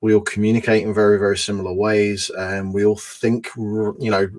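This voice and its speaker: A man's voice: lowish voice, little pitch movement, brisk, darkish tone. A man who is the guest in an interview, explaining his points steadily and stacking them in lists. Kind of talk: conversational interview.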